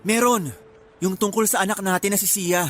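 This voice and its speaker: A man's voice: high voice, steady tone, brisk, a little hiss or hum, natural voice. A man playing an earnest young father in a radio melodrama, emotional and insistent, with a Filipino accent. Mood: pleading, insistent. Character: earnest young father pleading to claim his secret child.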